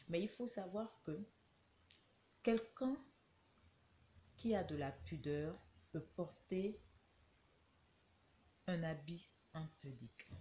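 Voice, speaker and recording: A woman vlogger with a cold, talking casually to camera and giving her view with slow, hesitant, reflective phrasing. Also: low voice, warm tone, dull audio, slight background noise. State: has a cold.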